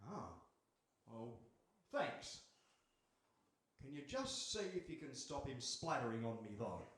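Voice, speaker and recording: A man's voice: mid-range voice, big pitch swings, slow pace, fairly bright tone. A man playing a meek, henpecked fisherman in a live comic radio play, hesitant, flustered and grumbling. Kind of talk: live radio theatre comedy acting. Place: on stage in a hall before an audience. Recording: echoey live hall.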